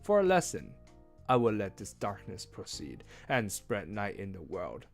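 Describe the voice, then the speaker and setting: A man's voice: lowish voice, wildly swooping pitch, measured pace, pitched below the performer's own voice, heavily acted. A man voicing a grandiose storybook bluffer posing as a mighty sorcerer, imperious and declamatory. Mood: imperious bargaining. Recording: music behind.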